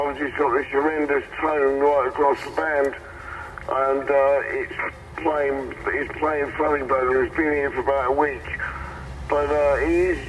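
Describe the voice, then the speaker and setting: Higher-pitched man over CB radio. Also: noisy background. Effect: heard over CB radio. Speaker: CB radio operator. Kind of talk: casual radio exchange. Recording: CB radio transmission with music behind.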